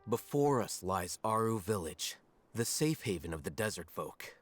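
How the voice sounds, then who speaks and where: An actor playing a composed, aloof young male scholar in an anime video game, speaking in a measured, formal and articulate way. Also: on the low side, animated, soft background music. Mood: matter-of-fact.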